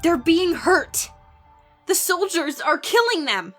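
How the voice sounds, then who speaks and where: A woman's voice: extremely high pitch, sing-song, takes it slowly, a bit bright, faint music behind, exaggerated voice. A woman playing an anxious, idealistic teenage village girl in a fantasy audiobook, pleading earnestly and urgently. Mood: earnest, distressed. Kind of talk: dramatized fantasy audiobook acting.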